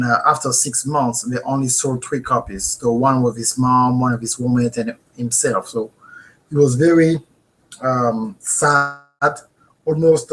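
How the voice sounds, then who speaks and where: A man with a French accent leads a live online class from his home office, and his voice comes through a webcam mic. He is earnest and animated and keeps checking in with the viewers. His pitch sits in a middle range for a man. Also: poor audio. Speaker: host. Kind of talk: live webinar teaching.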